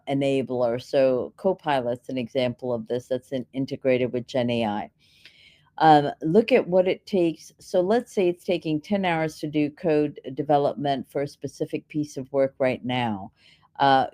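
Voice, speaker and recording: A woman's voice: deep voice, dark tone. A woman answering questions as a keynote speaker, explaining in an instructional, step-by-step way. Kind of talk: explanatory conference Q&A.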